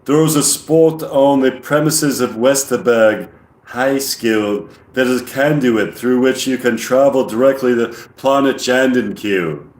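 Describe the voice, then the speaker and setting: Man acting as alien, monotone, put-on English accent, very slow and deliberate, echoey room. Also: mid-range voice, lightly acted. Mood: flat, solemn. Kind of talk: reading a script in character.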